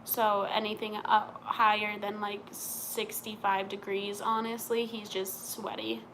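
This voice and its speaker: A woman's voice: mid-range voice, flat delivery, measured pace, background noise. A young woman from Montana, chatting casually on a live stream as she reads out and answers viewer questions.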